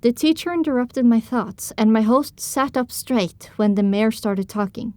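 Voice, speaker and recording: A woman's voice: on the high side, unhurried, darkish tone, no echo. A woman narrating an audio drama in the first person with a dramatic, scripted delivery.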